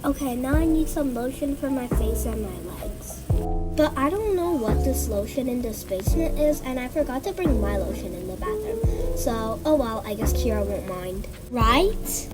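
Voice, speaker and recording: A woman's voice: a little high, animated, moderate pace, lightly acted, low-quality recording. A woman voicing a teasing, easily exasperated girl at a sleepover in an amateur role-play story, exclamatory and full of slang. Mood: chatty, disgusted. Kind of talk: amateur toy-app role-play voice acting. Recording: loud music under the voice.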